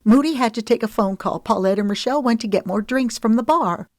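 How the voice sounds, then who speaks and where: A woman's voice: mid-range voice, very animated, a little slow, no echo, clean studio sound, pitched above the performer's own voice. A woman voicing an earnest young woman who speaks with a raised, urgent voice. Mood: pleading. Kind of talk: audiobook dialogue.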